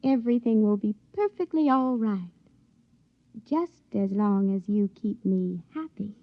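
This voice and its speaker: A woman's voice: medium pitch, lively intonation, unhurried. An actress playing a young, slyly confident country woman in love, with rustic folksy speech, in a 1950s radio drama. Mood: sweetly assured. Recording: muffled vintage radio recording.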